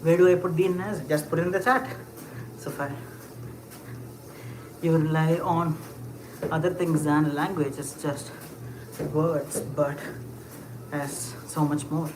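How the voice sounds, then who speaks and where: A livestreamer rambles and muses aloud to the chat at a middle pitch, full of "um"s and little laughs. The voice bounces around a roomy, echoey space, with a steady wash of ambient noise clearly under it. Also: slow pace, pauses often. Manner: rambling, musing aloud with frequent fillers and short laughs; talks while walking. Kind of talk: casual live chat.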